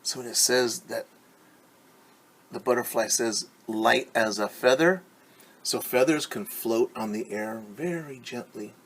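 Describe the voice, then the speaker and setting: A man teaching young children through a story, reading aloud and explaining gently and patiently with questions. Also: mid-range voice, a little slow, breathy edge.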